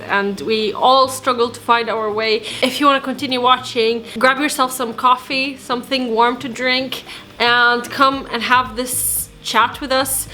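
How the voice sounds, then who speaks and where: A woman's voice: fairly high pitch, sharp tone, a little hiss or hum. A woman hosting her interior design channel, talking casually and upbeat with a guest and laughing often. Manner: upbeat, chatty, quick to laugh.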